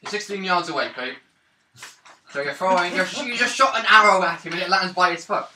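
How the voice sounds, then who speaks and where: A man chatting casually during a tabletop role-playing game, excitable and jokey, often exclaiming. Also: high-pitched, a little fast. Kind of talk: casual chat around a gaming table. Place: room, recorded around a gaming table. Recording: roomy, distant mic, faint noise and clicks.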